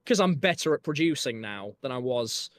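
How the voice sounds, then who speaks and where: A man's voice: medium pitch, expressive, moderate pace, dry recording. A young man with a Yorkshire accent talks about his own music in a rambling, casual way, full of fillers and restarts. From Northern England. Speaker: musician guest discussing his album. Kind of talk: casual chat.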